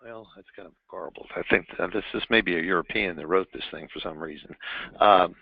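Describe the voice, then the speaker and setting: Halting, gravelly man on a phone line. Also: sits low, no echo. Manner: halting, fumbling while reading questions aloud. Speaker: conference call moderator reading investor questions. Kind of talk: unscripted question-and-answer on an earnings call. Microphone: telephone on a conference call. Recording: narrow tinny phone line.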